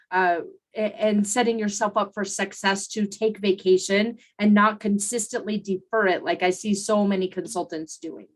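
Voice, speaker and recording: A woman hosting a solo coaching podcast, talking conversationally with frequent fillers and restarts, her voice recovering after laryngitis. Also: mid-range voice. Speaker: podcast host and consultant coach.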